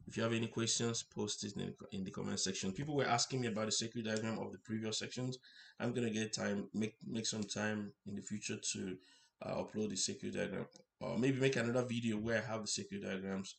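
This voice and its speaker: A man's voice: lowish voice, steady tone, quick pace, creaky. A man hosting an informal hands-on electronics tutorial, explaining things step by step and checking in as he goes. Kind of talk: informal hands-on demonstration narration. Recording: roomy echo, faint background noise.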